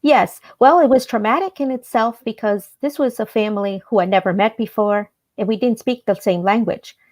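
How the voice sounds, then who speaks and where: A woman from El Salvador, a guest on a radio interview, telling her life story in an earnest, measured way with some hesitations. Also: a little high, a little mellow.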